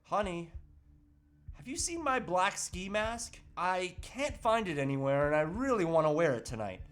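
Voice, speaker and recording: A man's voice: high-pitched, sing-song, normal speed, a bit bright. A man playing a determined, earnest and melodramatic husband on a crusade in an amateur comedy table read. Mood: earnest, insistent.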